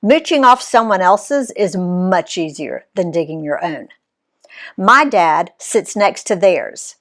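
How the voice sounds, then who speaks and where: A woman reading her own family's travel story aloud in the first person, playing up key words. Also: slow. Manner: expressive reading, pitch swinging on key words.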